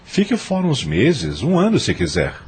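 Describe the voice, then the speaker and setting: A man in an audio novel playing a controlling, crafty old grandfather with a husky, rough voice. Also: mid-range voice, huge pitch swings, normal speed, heavily acted. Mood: falsely kind, persuasive. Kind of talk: audio novel dramatic acting.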